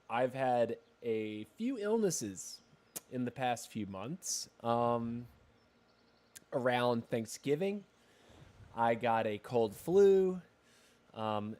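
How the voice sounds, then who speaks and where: A man hosting a game livestream, talking casually while a little congested with a scratchy throat. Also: fairly high pitch. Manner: chatty, relaxed, rambling asides. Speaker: game streamer.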